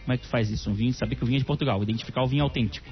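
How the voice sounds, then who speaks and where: A man hosting a radio show, telling jokes in animated banter. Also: medium pitch, racing, dull audio, music in the background. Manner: animated joke-teller.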